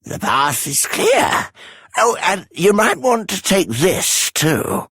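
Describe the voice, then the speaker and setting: A friendly, teasing mentor from a video game. The husky voice swings widely in pitch at a slow pace. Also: dry recording, clean studio sound, full character voice. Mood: encouraging, playful. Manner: teasing, expressive. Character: friendly mentor sending an adventurer off. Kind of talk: theatrical video game voice acting.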